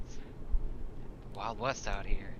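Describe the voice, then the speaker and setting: A man's voice: on the low side, steady tone, slow. A man chatting casually as a player in a tabletop role-playing game. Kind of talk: casual chat. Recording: dull lo-fi mic, clearly noisy background.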